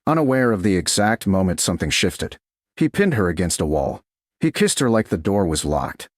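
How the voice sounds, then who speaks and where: A man narrating a scripted romance story in a measured, dramatic way, in short clipped phrases. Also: deep voice, sing-song, no echo, clean studio sound. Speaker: audiobook narrator.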